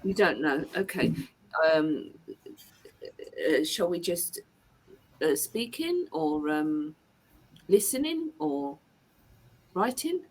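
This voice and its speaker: A woman's voice: mid-range voice, expressive, takes it slowly, vocal fry, pauses often, husky, poor audio. A woman from England, tutoring a learner one to one and repeating and rephrasing her questions patiently. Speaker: English tutor. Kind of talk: online one-to-one English lesson.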